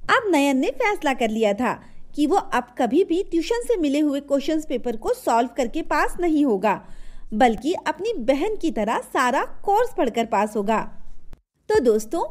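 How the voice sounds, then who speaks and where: Lady reading a kids story, high voice, really up and down. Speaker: story narrator. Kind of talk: scripted children's story narration.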